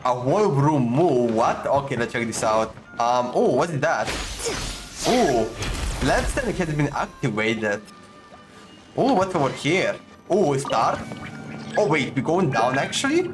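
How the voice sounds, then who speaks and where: A man's voice: medium pitch, very animated, measured pace. A young man doing casual, slangy gameplay commentary, reacting informally as he plays. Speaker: gaming streamer. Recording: voice over game music. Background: game music.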